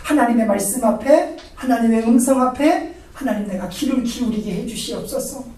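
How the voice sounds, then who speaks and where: Woman prayer leader, high-pitched, earnest and repetitive, heard from afar in a very reverberant space. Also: mellow. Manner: fervent, repetitive pleading with slowed emphatic phrases. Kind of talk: spoken congregational prayer. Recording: very echoey, distant from the mic.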